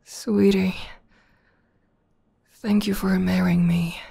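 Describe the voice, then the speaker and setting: A woman playing a tender, lovestruck tomboy demoness in a romantic ASMR roleplay, speaking softly and haltingly with half-whispers. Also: medium pitch, little pitch movement, exaggerated voice. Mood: happy, adoring. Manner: soft, halting, breathy half-whispers with emotional stammers. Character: tender, lovestruck tomboy demoness, millennia old, newly proposing.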